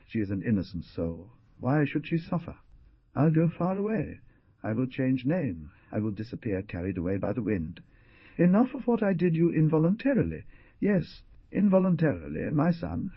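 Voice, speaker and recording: A man's voice: medium pitch, breathy edge, no room sound, pitched above the performer's own voice. A man voicing a desperate older peasant mother who pleads tearfully, in a dull lo-fi recording. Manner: tearful, imploring.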